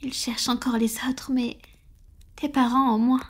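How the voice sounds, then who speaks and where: A woman's voice: high voice, level tone, moderate pace. A woman playing a tender, vulnerable vampire lover in an intimate, whispered ASMR roleplay, speaking breathily and hesitantly. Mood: hesitant, confessing.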